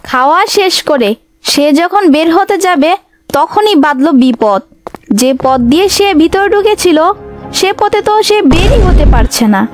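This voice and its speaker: A woman's voice: very high-pitched, animated, unhurried, soft background music. A woman storyteller reading scripted narration for a children's animal tale.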